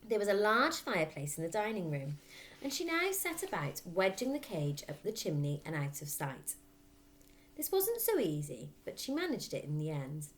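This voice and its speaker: A woman reading a children's story aloud like a teacher, in expressive narration. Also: lowish voice, normal speed.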